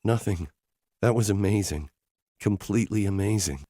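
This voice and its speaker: A man voicing an emotional young woman in an audiobook, speaking in a higher, softer voice. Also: normal speed, no echo, clean studio sound, close to an everyday voice. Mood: tearful. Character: emotional young woman, tearful and self-doubting. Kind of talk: audiobook character dialogue.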